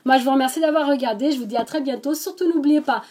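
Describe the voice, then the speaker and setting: A woman's voice: sits high, quick pace, dark tone. A woman with an accent, hosting a craft channel, casually showing the crafts she received and thanking the senders, with frequent hesitations. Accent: non-native French accent. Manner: chatty, lots of hesitations and "donc". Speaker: scrapbooking channel host. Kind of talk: casual show-and-tell of craft mail.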